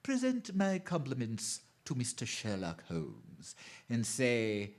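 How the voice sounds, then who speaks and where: A criminal mastermind of radio drama, acted by a man, commanding and coolly menacing. His formal, deliberate phrases swing widely in pitch around a middling register. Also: huge pitch swings, exaggerated voice. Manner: deliberate, authoritative, formally polite villain. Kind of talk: theatrical radio drama acting.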